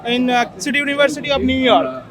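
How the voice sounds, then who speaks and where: A man's voice: very high-pitched, sing-song, fast. A man from India, a PhD student, answering a street interview hesitantly, leaning on "like". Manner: hesitant, fills with "like". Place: crowded outdoor square festival. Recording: loud crowd outdoors.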